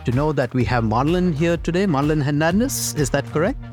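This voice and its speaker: A man's voice: a little high, lively intonation, a little fast, darkish tone, soft background music. A young man hosting an interview podcast, chatting casually in a friendly, rambling way full of fillers. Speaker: podcast host.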